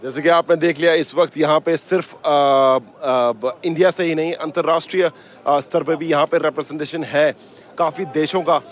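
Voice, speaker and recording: A man's voice: on the high side, fairly even intonation, normal speed. A man hosting live event coverage for radio, mixing in English, with hesitant, effusive presenter patter. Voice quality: mixes English phrases into Hindi. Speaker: radio station host and interviewer at an expo. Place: busy expo grounds.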